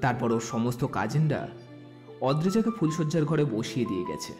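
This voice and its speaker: A man narrating a scripted romantic story with expressive storytelling delivery. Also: mid-range voice, normal speed. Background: background music.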